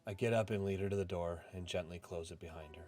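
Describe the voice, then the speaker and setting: A man voicing a reserved, brooding young office worker who narrates a visual novel in a subdued, measured way. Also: low-pitched, darkish tone, natural voice. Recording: music playing behind the voice.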